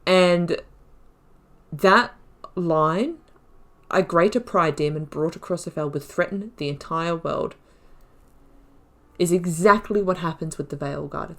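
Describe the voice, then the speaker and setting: A woman hosting a video game playthrough, moving between measured lore reading and emphatic, musing casual commentary. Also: lowish voice, a bit bright. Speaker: gamer host of a playthrough series.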